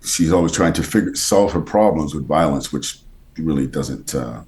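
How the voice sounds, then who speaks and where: Very deep-voiced man with a textured bass. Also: expressive. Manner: halting, many restarts and fillers, drops quieter mid-thought. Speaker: actor and voice actor guest. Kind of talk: casual interview conversation.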